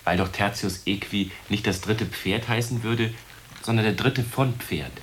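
An actor playing an earnest, timid young novice monk in a radio drama, speaking modestly and hesitantly. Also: male voice, lowish voice, fairly even intonation, measured pace, a little hiss or hum, close to an everyday voice. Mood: hesitant, thoughtful. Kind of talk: German radio drama acting.

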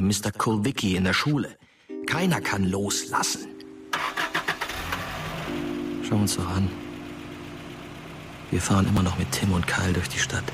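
A man playing the brooding ghost of a dead teenage boy, narrating a radio play in a hushed, intimate way. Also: sits low, close to an everyday voice. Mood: resigned, wry. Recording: music behind the voice.

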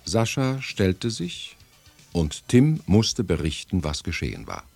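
A man's voice: sits low, huge pitch swings, takes it slowly, gravelly, a little mellow, husky, soft background music, exaggerated voice. A middle-aged man narrating a detective radio play for young listeners as a composed storyteller.

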